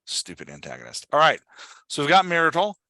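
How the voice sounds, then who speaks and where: A man's voice: a little high, wildly swooping pitch, fairly quick, bright, forceful tone, no echo. A man coaching someone in a casual one-on-one talk, instructive and prodding with questions. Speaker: podcast host and dialogue writing coach.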